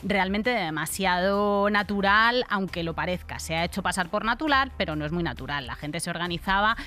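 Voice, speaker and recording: A woman's voice: mid-range voice, lively intonation, normal speed, bright, forceful tone. A woman in her thirties with a Spain accent, explaining things conversationally and wryly as a podcast co-host in a studio. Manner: wry, plain-spoken. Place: indoor radio-style podcast studio. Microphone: studio microphone on desk stand.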